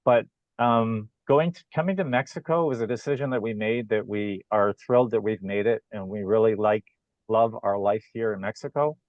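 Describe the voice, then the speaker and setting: A man speaking in a measured, hesitant way with many fillers, joining a video call to talk about business. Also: on the low side, no room sound. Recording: dull lo-fi video call audio.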